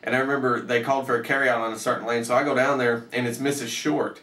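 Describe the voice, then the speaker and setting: A man's voice: medium pitch, fairly even intonation, fast, bright, forceful tone. A man in his thirties telling a personal story casually, with swearing and acted-out bits of dialogue. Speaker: storyteller, host. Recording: roomy echo, off the mic.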